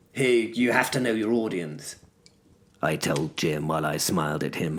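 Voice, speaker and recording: Man acting an urbane storyteller, low-pitched, unhurried, pompous, wryly theatrical. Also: fairly bright tone, exaggerated voice. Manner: theatrical, wry, formal. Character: urbane, pompous gentleman narrator, self-styled practised orator. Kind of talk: scripted first-person story narration.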